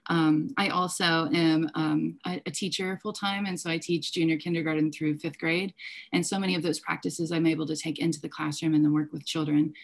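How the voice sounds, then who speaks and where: Woman teacher, deep voice, earnest panel remarks. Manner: earnest, fluent with filler ums. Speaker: teacher and class host. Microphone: video call.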